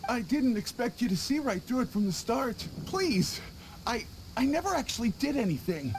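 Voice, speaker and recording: A man's voice: very high-pitched, sing-song, moderate pace, a little hiss or hum, exaggerated voice, poor audio. A man voicing a cowardly, scheming visiting merchant in a game, stammering and panicky. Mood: pleading.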